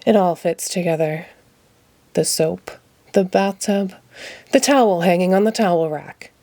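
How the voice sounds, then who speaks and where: A woman's voice: fairly low pitch, measured pace, mellow. A woman voicing a pompous, bumbling male puppet detective from a children's storybook, declaiming grandly. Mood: confident. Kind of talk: children's storybook read-aloud.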